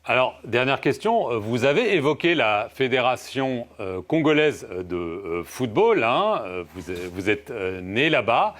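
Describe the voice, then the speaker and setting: A man's voice: medium pitch, big pitch swings, unhurried, few pauses, fairly bright tone. Polite male journalist asking questions. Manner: polite, formal, many fillers. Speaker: interviewer, journalist. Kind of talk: interview questions.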